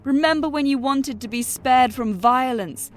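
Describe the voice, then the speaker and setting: A woman playing a firm, moralising story character, speaking insistent, measured rhyming lines in an audio drama. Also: high voice, close to an everyday voice. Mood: reproachful, pleading. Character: firm, moralising female character confronting her author.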